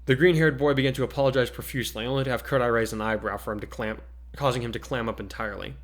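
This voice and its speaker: A man with a medium-pitched voice reads fiction aloud. His delivery is dramatic, scripted third-person narration. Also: steady tone, racing. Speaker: fan fiction reader.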